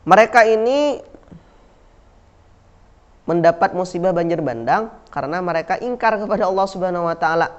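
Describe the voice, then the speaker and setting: A man's voice: high voice, animated, moderate pace, pauses often. A man with an Indonesian accent, teaching a class on Islamic history, who repeats key words and asks and answers his own questions, with frequent fillers like "nah". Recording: dull lo-fi recording.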